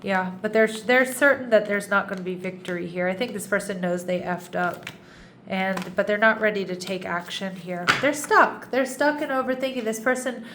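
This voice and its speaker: A woman's voice: mid-range voice, moderate pace, background noise. A woman giving a casual tarot reading, a little nasal and congested, chatty with frequent restarts and asides. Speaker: tarot reader.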